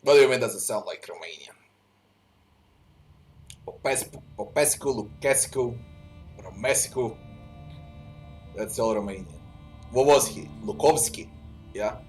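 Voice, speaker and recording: Slow-talking man narrating a game. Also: mid-range voice, animated, soft background music. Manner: musing, talks to himself. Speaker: gamer. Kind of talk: let's play commentary.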